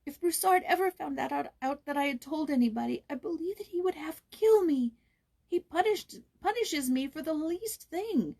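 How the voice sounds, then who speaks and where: Storybook role: scared, sorrowful young boy, played by a woman, high and trembling, timid delivery. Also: animated, moderate pace, vocal fry. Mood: fearful, pleading. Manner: tremulous, sometimes dropping to a hushed whisper.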